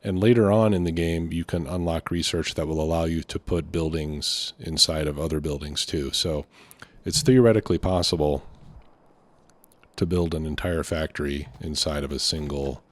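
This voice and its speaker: Deep voiced dude doing a chill lets play, kinda stuffed up from a cold, hesitant, thinks out loud. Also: male voice, moderate pace, no room sound. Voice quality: slightly stuffy, congested nose. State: getting over a cold. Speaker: gaming video host. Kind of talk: casual let's play commentary.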